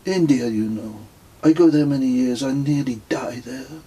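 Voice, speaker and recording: A man's voice: mid-range voice, moderate pace, warm tone, breathy edge, voice pushed up, lightly acted. A man doing a smug pilgrim with a French accent. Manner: broken English, sing-song, self-satisfied. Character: smug wandering male pilgrim, serene.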